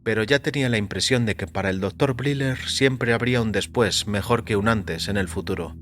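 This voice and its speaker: A man's voice: lowish voice, brisk, a bit bright, slight background noise. A man narrating a scripted first-person story for an audio fiction production. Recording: music behind the voice.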